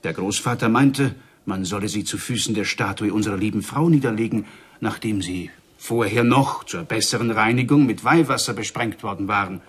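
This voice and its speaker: A man's voice: lowish voice, moderate pace, breathy edge. An actor playing a village man who earnestly relays news as a storyteller in a radio play. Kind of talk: German radio play acting.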